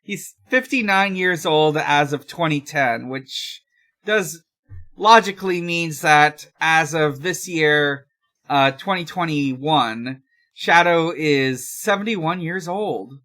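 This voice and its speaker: A podcast host speaking casually and haltingly, in chat and reading fanfiction aloud. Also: medium pitch, fairly bright tone. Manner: hesitant, halting.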